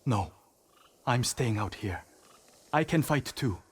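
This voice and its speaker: A man's voice: medium pitch, expressive, slow, slightly rough. Earnest, nervous young man. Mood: earnest, determined. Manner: hesitant, soft-spoken, deferential. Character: earnest, nervous young blacksmith, gentle and eager to help. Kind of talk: dramatic video game acting.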